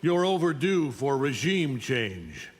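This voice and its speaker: Middle-aged superhuman tyrant for a fighting game: condescending, menacing, ringing in a big reverberant space. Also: male voice, medium pitch, very animated, slow pace, a little hiss or hum. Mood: cold, domineering. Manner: imperious, threatening, condescending. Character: arrogant, menacing middle-aged alien superhuman conqueror in a cape. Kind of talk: video game fight-intro dialogue. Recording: long hall-like reverb.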